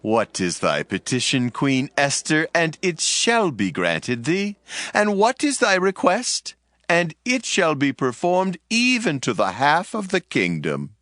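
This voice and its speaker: A man's voice: a little high, huge pitch swings, takes it slowly, fairly bright tone, no room sound, studio quality, exaggerated voice. An actor playing an imperious, passionate ancient king in a dramatized Bible, commanding and theatrical in manner. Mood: magnanimous. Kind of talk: dramatized scripture acting.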